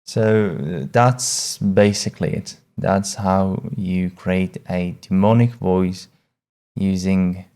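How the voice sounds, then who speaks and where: A man presenting a casual software tutorial in a hesitant, halting way, often repeating words as he explains each step. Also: sits low.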